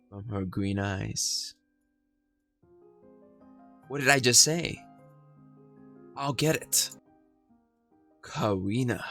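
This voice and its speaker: Brief: male streamer, dramatic storytelling read, unhurried, soft music behind. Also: medium pitch, creaky. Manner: slow, dramatic storytelling reading. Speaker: gaming streamer. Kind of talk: reading a horror game's story aloud.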